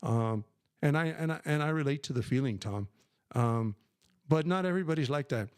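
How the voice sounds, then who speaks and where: A man's voice: fairly high pitch, lively intonation, moderate pace, clean tone, dry recording, studio quality. An older man hosting a casual live question-and-answer stream, talking emphatically and rambling with many fillers and restarts. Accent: New Mexican Spanish when speaking Spanish. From New Mexico, USA. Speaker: livestream host.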